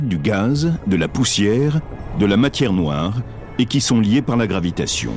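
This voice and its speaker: A man's voice: lowish voice, a little mellow. Man narrating a documentary over music. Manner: measured, explanatory. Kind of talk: scripted narration. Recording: dubbed voice-over with music behind.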